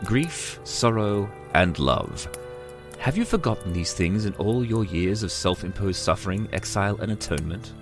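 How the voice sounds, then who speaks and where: An actor playing a solemn otherworldly judge of souls, speaking formally and gravely in an audio drama. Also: male voice, on the low side, sing-song, moderate pace, over music. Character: solemn male otherworldly keeper judging souls.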